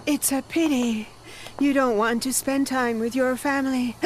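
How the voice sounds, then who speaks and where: A woman's voice: sits high, fairly even intonation, normal speed, a little hiss or hum, lightly acted. An actress playing a reproachful, pitying lady in a family radio novela.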